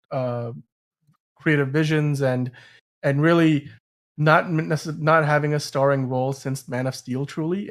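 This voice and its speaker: A man hosting a podcast, discussing movie news casually in a halting, rambling way with self-corrections. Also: medium pitch. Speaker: podcast host.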